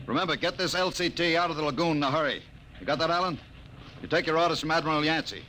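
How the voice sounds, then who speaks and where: A man's voice: on the high side, fast talker, over loud music, close to an everyday voice, low-quality recording. A man playing a blunt army general who barks curt orders, acted in 1950s radio drama style, on a hissy old broadcast recording. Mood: stern.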